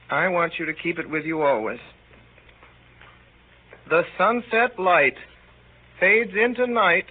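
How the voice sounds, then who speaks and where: A man's voice: high-pitched, phone-quality sound, heavily acted. An actor playing a lovesick, irritable teenage boy in a melodramatic, declaiming 1930s radio style. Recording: thin, band-limited 1930s radio recording.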